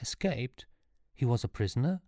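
Man playing an anxious, pleading young hero, higher-pitched. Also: animated, normal speed, darkish tone, dry recording, lightly acted. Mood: incredulous questioning. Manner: urgent, stammering, insistent.

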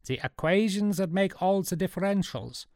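A man puts on an old Swiss patriarch for a storybook, greedy and spry. The pitch jumps far above the reader's own deep voice, captured dry. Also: animated, moderate pace, darkish tone, no room sound. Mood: greedy, eager. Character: nimble old Swiss patriarch trader, greedy, broken English.

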